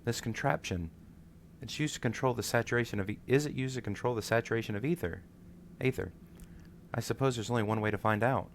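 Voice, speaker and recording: A man's voice: mid-range voice, quick pace, gravelly, slight background noise, lightly acted. A man voicing an earnest, inquisitive scholar in a fantasy game, with formal, musing, theatrical phrasing. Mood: pensive. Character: earnest, inquisitive scholar exploring ancient ruins. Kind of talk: read-aloud fantasy game dialogue.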